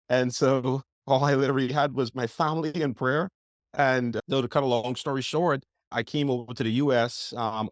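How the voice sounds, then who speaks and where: A man's voice: medium pitch, no echo. Young guy telling his story, keeps saying like and you know, dry sound. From Bahamas. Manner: eager, rambling, heavy use of like and you know. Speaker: podcast guest. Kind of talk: casual interview storytelling.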